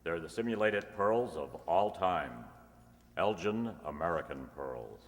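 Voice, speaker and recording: Man playing a deep-voiced radio announcer, reverberant. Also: lively intonation, unhurried. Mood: smooth selling. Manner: polished, salesmanlike. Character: polished, eager male announcer and sponsor pitchman. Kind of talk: old-time radio announcing, scripted ad. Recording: echoey hall, long reverb.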